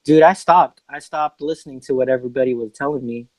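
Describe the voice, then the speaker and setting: A man's voice: mid-range voice, fast talker, dry recording, rough recording. A man from the Bay Area, a music producer being interviewed in a casual podcast chat. He talks in a laid-back, hesitant way with lots of fillers and restarts. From San Francisco Bay Area.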